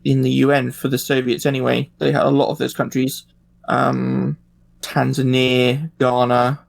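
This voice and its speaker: A man in a casual panel discussion, speaking informally and haltingly with pauses mid-phrase. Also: mid-range voice, creaky.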